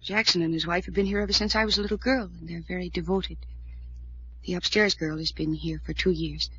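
An actress playing an anxious, earnest young heiress with a hesitant manner in a 1940s radio drama. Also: female voice, on the low side, steady tone, fairly quick, a bit bright, slight background noise, natural voice. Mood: uneasy, confiding. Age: young adult. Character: troubled, anxious twenty-four-year-old heiress, earnest and resigned. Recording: muffled old-time radio recording.

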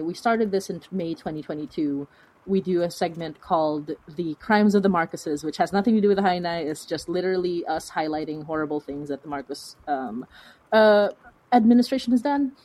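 A woman's voice: on the low side, expressive, normal speed, a little mellow, rough recording. A woman speaking as a podcast guest, answering in an earnest, reflective, conversational way. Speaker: guest, fiction podcast creator.

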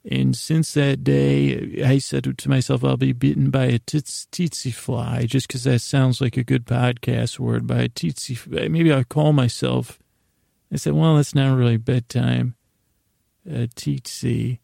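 A middle-aged man hosting a bedtime podcast, rambling whimsically in a creaky voice, often halting and losing his thread. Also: mid-range voice, fairly even intonation, no room sound, studio quality. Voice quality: creaky, gravelly, mellow voice. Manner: meandering, halting, trails off mid-thought, whimsical. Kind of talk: rambling, improvised bedtime monologue to listeners.

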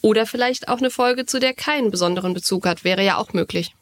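A woman's voice: medium pitch, fast talker. A woman co-hosting a podcast, chatting casually and telling anecdotes in a fluent, enthusiastic way. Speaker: podcast co-host.

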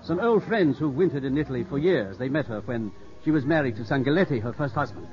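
A man's voice: mid-range voice, animated, fast talker, lightly acted. A man acting a cautious, stern older English family friend in a 1950s radio drama, sober and admonishing. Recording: dull vintage radio recording with music behind.

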